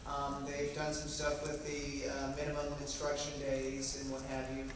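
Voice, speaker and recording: A man's voice: mid-range voice, completely flat delivery. A man from Southeast Texas with a local accent, a lobbyist giving a folksy, joking update to a room of teachers. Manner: folksy, joking, quick bursts with ums. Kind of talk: casual talk to an audience. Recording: roomy, echoey hall from far off with ambient noise.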